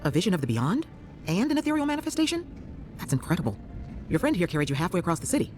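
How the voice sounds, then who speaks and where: An actress playing a brisk, caring female healer in a fantasy video game, inquisitive and expressive. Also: lowish voice, faint music behind. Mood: relieved, curious.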